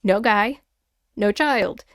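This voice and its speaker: A woman hosting a story podcast, recorded dry and close. She reads listener submissions in a casual, chatty storytelling style. Her delivery is conversational and animated, open to personal asides. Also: medium pitch, slow pace, no room sound. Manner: animated, conversational reactions breaking into the reading. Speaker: podcast host reading listener-submitted paranormal stories.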